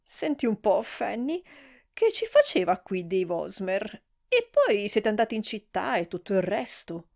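A woman voicing a brash, gossipy middle-aged woman who speaks in shrill, exclamatory outbursts. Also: wildly swooping pitch, unhurried, creaky, a little mellow, exaggerated voice.

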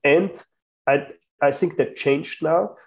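A man's voice: fairly high pitch, slow, creaky. A man from Austria chatting as a guest over a video call, speaking hesitantly with many restarts. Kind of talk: casual chat.

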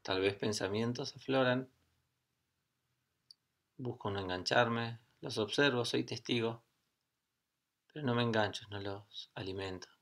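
A middle-aged man guiding a meditation as a teacher, speaking calmly in short phrases with long pauses. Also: medium pitch. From Argentina. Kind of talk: guided meditation.

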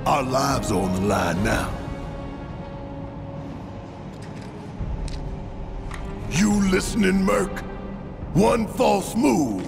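A big, hot-headed eco-militant from a video game. He speaks slowly and emphatically in a gritty, confrontational voice. It rings through a long hall reverb with music behind. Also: a little high, very animated, exaggerated voice. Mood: menacing. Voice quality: gravelly. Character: burly hot-tempered gruff male eco-militant leader. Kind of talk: video game cutscene acting.

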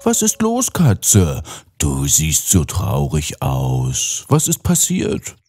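A man voicing a friendly old donkey leader in a children's fairy tale cartoon, husky, with drawn-out, swooping delivery. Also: rumbling low, wildly swooping pitch, darkish tone, dry recording, clean studio sound, soft background music, exaggerated voice. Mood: friendly, encouraging.

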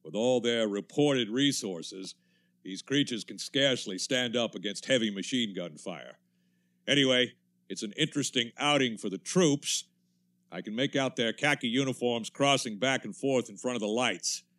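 An actor playing a confident militia captain reporting from the field in a 1930s-style radio drama, in a clipped military manner. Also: male voice, mid-range voice, normal speed, fairly bright tone, lightly acted. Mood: brisk confidence.

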